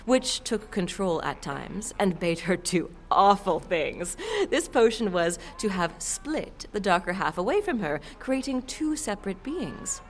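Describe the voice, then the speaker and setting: A woman's voice: mid-range voice, expressive, unhurried, hardly any pauses, a little hiss or hum. A woman playing a playful, sultry half-demon shopkeeper in an audio drama, selling with coy, theatrical flourishes. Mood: chatty, storytelling. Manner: coy, theatrical saleswoman with chuckles and purring flourishes.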